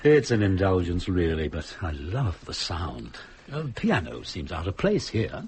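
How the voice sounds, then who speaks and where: An actor playing a mild, thoughtful husband in a radio drama, questioning things in a tentative, reflective way. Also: male voice, low voice, sing-song, unhurried, darkish tone, a little hiss or hum, full character voice. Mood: appreciative.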